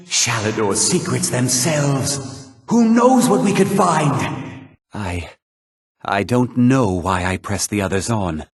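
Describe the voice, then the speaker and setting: A man playing a grave older mage in a video game, with a measured, formal, theatrical delivery. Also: on the low side, breathy edge, no room sound, clean studio sound, heavily acted. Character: grave older male mage, stern college leader, haunted by guilt. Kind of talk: dramatic video game voice acting.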